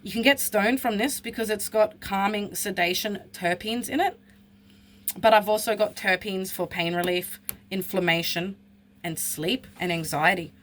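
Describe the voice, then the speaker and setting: A woman's voice: mid-range voice, normal speed, a bit bright, slight background noise. A woman, talking bluntly and with frustration in a personal vlog. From Australia. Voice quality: husky. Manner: blunt, frustrated, swears freely. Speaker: vlogger.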